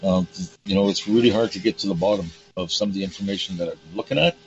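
A man hosts the conversation in a very low voice that is going hoarse. He rants with emphatic, indignant force. The audio is dull and lo-fi, with a faint hum underneath. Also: quick pace. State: hoarse, losing his voice. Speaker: host. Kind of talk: casual talk show conversation.

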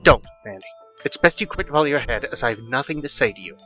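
A man's voice: mid-range voice, expressive, fast talker, bright, forceful tone, highs cut off, faint music behind. A man voicing a worried, protective mother who can snap at her husband, in an audiobook horror story. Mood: angry. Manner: scolding yet soothing.

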